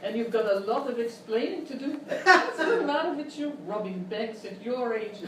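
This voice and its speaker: A woman's voice: a little high, animated, moderate pace, a bit bright. A woman playing a brassy, nagging Wild West saloon gal in a live radio comedy sketch, folksy and theatrical. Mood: scolding, fussing. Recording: distant, roomy, noisy live stage recording.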